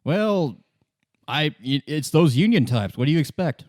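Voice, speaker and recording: A man's voice: fairly high pitch, lively intonation, a little slow, a little mellow, dry recording, studio quality, voice pushed up. Man voicing a whiny, blustering bigoted truck driver. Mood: indignant, boastful.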